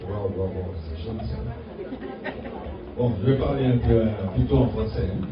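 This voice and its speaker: A man's voice: lowish voice, moderate pace. A man from French Guiana giving a heartfelt, grateful acceptance speech as a promoter, repeating words for emphasis. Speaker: promoter receiving an award. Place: event hall. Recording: distant, echoey, dull, noisy crowd hall.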